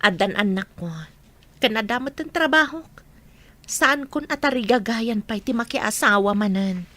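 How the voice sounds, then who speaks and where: A woman's voice: a little high, moderate pace, fairly bright tone. A woman acting a weary, bitter single mother in a Filipino audio drama.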